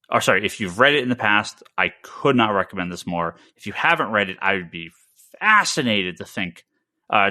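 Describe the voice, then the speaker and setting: Low, bright-voiced man talking comics. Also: dry recording, studio quality. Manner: halting, repetitive, emphatic. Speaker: comics podcast co-host. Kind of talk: casual discussion and explanation.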